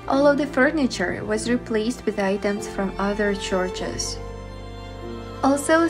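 Woman narrating a travel guide over music. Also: mid-range voice. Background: background music.

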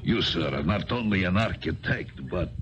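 An actor playing a proud, suspicious fortune-teller in a radio drama, speaking in a slow, portentous, commanding way. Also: male voice, low voice, lively intonation, a bit bright. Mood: ominous, mystical. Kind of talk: 1970s radio drama acting. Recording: dull, noisy 1970s radio recording.

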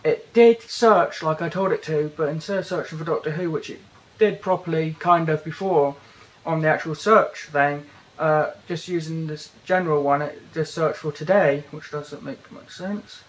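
A young British man casually walking through a phone's features in a bedroom, talking haltingly with many fillers and restarts. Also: a little high, rough recording. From Britain. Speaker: tech reviewer. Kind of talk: casual hands-on product walkthrough. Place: indoors, bedroom.

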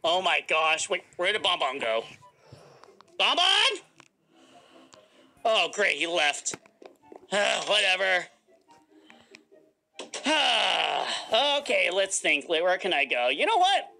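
A woman playing an excitable, bossy animatronic fox, flustered and dramatic, in an improvised game roleplay. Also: on the low side, slow pace, gravelly, pauses often, slight background noise, heavily acted. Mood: resigned. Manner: flustered, dramatic, stammering denials.

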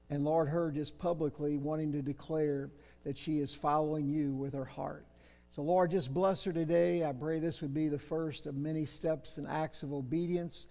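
A man's voice: fairly high pitch, gravelly. A middle-aged man, a pastor, praying earnestly and officiating baptisms in a church. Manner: earnest, gentle prayerful delivery. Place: church baptistry, large sanctuary. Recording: reverberant church sanctuary.

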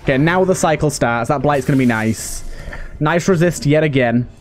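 A man's voice: fairly high pitch, normal speed. A man giving casual let's play commentary, muttering to himself as he thinks through his moves. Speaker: gaming video host. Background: game music.

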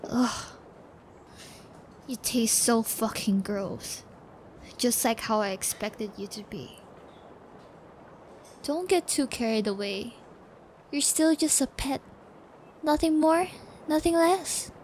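A high-pitched, slow-talking sadistic psychopath. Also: female voice, animated, a little mellow, slight background noise. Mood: disgusted, belittling. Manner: taunting, gloating, giggly, drawn-out threats. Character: sadistic possessive female psychopath keeping a captive pet. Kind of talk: intimate ASMR audio roleplay.

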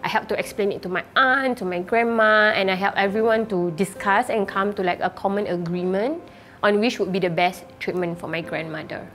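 A woman in her thirties speaking in a measured, articulate way in an interview at home. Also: mid-range voice, fairly bright tone. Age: 30s. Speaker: caregiver, granddaughter. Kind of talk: interview testimony. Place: home room by a window.

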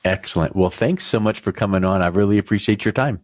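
A man's voice: on the low side, fast talker, dry recording. A man hosting a business podcast interview in a conversational style, recorded with slightly dull audio.

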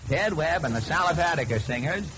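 1930s radio host, faintly noisy recording. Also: male voice, a little high, lively intonation, fairly quick. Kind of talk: 1930s radio comic hosting patter.

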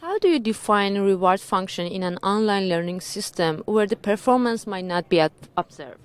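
Young woman interviewer, reads questions a bit haltingly, mid pitch, conference hall. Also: expressive, clean tone.

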